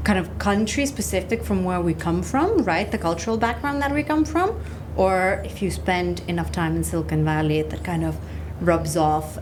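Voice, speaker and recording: Low-voiced woman hosting, noisy background. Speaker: podcast host, interviewer. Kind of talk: conversational interviewing.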